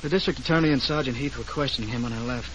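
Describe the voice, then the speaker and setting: An actor playing a nervous, guilty young lover, anxious and urgent, in 1940s radio melodrama style. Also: male voice, mid-range voice, racing, a bit bright, close to an everyday voice. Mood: alarmed. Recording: very noisy old radio recording.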